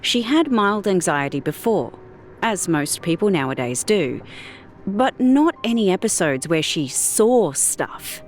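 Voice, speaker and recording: A woman reading a fictional story as an audiobook narrator, in a measured, deliberate storytelling manner. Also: mid-range voice, sing-song, soft background music.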